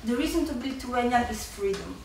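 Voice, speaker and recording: A young woman with a Polish accent, a student giving nervous, halting remarks at a seminar with many restarts. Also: a little high, animated, a little mellow, very echoey, distant mic, a little hiss or hum. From Poland. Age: young adult. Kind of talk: nervous public remarks in a second language.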